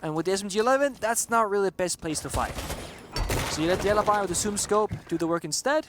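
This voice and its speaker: A man doing color commentary at a live esports match, calmly explaining tactics and player roles. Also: high voice, fast talker, noisy background. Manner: explanatory, breaks down tactics steadily. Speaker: esports analyst caster. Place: broadcast desk at a live event.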